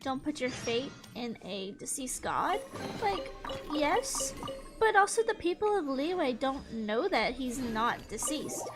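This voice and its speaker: Female gaming host, high-pitched and unhurried, casual playthrough commentary with clear music behind. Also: sing-song. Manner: chatty, informal. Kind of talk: casual game playthrough reactions.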